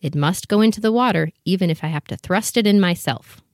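A woman's voice: on the low side, fairly quick, a little mellow, dry recording, clean studio sound, lightly acted. A woman playing a proud, fussy, protective mother duck in a storybook dramatization, bustling and motherly as she instructs. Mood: stubborn, musing. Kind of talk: full cast storybook dramatization.

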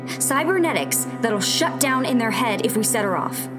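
A woman's voice: a little high, animated, moderate pace, natural voice. A woman playing a hard-headed, pragmatic rebel fighter in a sci-fi audio drama, with a firm way of talking. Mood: pressing, heated. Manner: firm, argumentative, pressing with questions. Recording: clear music bed behind.

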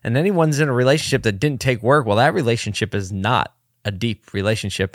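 A man hosting his own podcast from his office, his voice a little hoarse and low on energy, talking candidly and rambling as he pushes himself to liven up. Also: dry recording, clean studio sound. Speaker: podcast host, agency owner. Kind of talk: casual solo podcast talk. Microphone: desk mic in his office.

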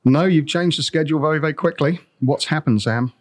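A man's voice: a little high, fairly quick, no room sound. A man co-hosting a podcast, chatting in an easygoing way with many ums. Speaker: podcast co-host. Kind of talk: casual chat. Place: warehouse with a bottling line.

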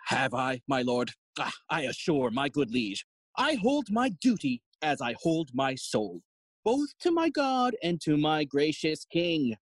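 Husky, fussy old royal counsellor. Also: male voice, fairly high pitch, wildly swooping pitch, slow pace, no room sound, exaggerated voice. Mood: eager, self-important. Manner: wordy, theatrical. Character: fussy, long-winded older father and royal counsellor. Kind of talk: Shakespearean audio play acting.

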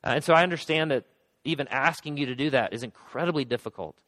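A pastor preaching a sermon, speaking earnestly with frequent restarts, his voice going hoarse. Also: mid-range voice, fast talker, fairly bright tone, big-room sound, poor audio.

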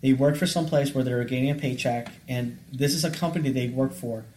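A man in his late thirties with a mid-pitched voice lays out his ideas to a host. He talks earnestly in a casual chat and keeps checking that he is followed. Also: steady tone, a little fast, a little mellow, slight background noise. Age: 30s. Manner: explaining earnestly, checking in with "right?" and "okay". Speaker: podcast guest.

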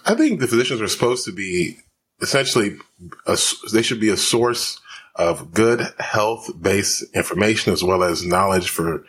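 A man from Houston, Texas, a physician guest talking fast with many fillers in a podcast interview. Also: lowish voice, expressive, a bit bright, husky. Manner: rapid, many fillers and restarts.

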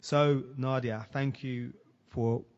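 A man's voice: medium pitch, steady tone, slow, a little mellow, dull audio. A man hosting a podcast interview, talking in a friendly, eager way with frequent restarts. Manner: friendly, stumbling restarts, enthusiastic. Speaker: podcast host.

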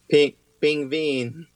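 Slow-paced man, good-humored language learner. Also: on the high side, fairly even intonation. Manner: brief, good-humored replies. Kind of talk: casual repeating of lesson words.

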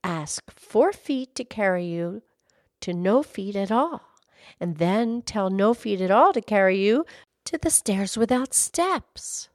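Storybook fairy, small and playful, giving riddling instructions with lively intonation played by a female narrator. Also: medium pitch, takes it slowly, dry recording, studio quality, voice pushed up.

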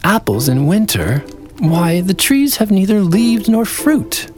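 A man's voice: sits high, sing-song, slow, music in the background, pitched above the performer's own voice, exaggerated voice. A man voicing a timid, downtrodden young peasant girl, polite and pleading, in a fairy tale. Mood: meek, protesting.

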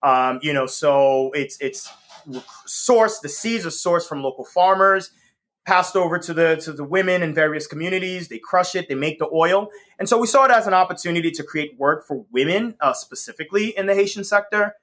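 Bright-voiced, upbeat man laughing easily. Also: a little high, moderate pace. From Northeast US. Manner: enthusiastic, laughs often, many ums. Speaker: guest, company co-founder. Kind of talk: casual interview chat.

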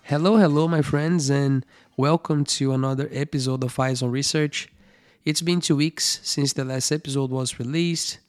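A man's voice: medium pitch, fairly even intonation, moderate pace, faint music behind. A man, a medical doctor, hosting a podcast and explaining eye research in simple, friendly terms, often checking in with "right?".

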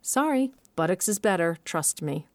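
A woman playing a determined mother who narrates her own adventure in a scripted audio drama, measured but with dramatic emphasis. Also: on the low side, lively intonation, no room sound, studio quality, natural voice. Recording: loud music bed under voice. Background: music.

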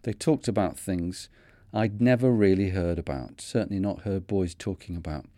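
A man in his fifties with a Manchester accent, hosting a podcast and narrating his own story in a measured, earnest way. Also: deep voice, mellow, no room sound, studio quality. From North of England. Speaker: podcast host. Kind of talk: scripted reflective narration.

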